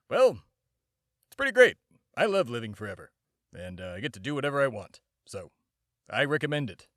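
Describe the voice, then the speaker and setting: A man's voice: medium pitch, no room sound, studio quality. A man playing a bombastic, self-important immortal embodiment of America in a comedy podcast monologue. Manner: pompous, shouting announcer bursts, rambling asides.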